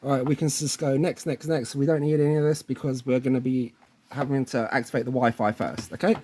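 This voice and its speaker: A man's voice: mid-range voice, fairly even intonation, a little fast, warm tone, slight background noise. A man presenting a casual hands-on tech tutorial in an informal way, often checking in with the viewer. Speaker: presenter of installation tutorial videos.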